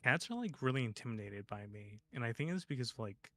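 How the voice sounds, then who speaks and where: A man's voice: medium pitch, lively intonation, quick pace, fairly bright tone. A man chatting casually as a guest, rambling with many fillers. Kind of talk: casual chat. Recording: music playing behind.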